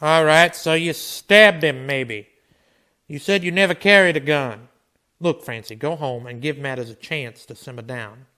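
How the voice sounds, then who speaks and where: A man's voice: a little high, measured pace, bright, forceful tone. A man playing a stern, world-weary marshal in a Western radio drama, with a commanding, curt manner. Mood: cold, weary. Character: stern, world-weary male frontier marshal facing down lynch mobs.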